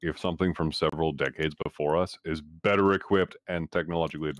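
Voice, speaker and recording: A man's voice: a bass voice, steady tone, quick pace, a crowd in the background. A man playing a tabletop game, chatting casually in a dry, sweary way. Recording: noisy mic with keyboard clicks.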